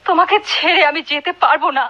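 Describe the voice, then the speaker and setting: Long-suffering devoted wife in radio melodrama, imploring, high sharp voice. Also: female voice, fast, dull audio. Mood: sorrowful devotion. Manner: earnest, imploring. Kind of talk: melodramatic Bengali radio play acting.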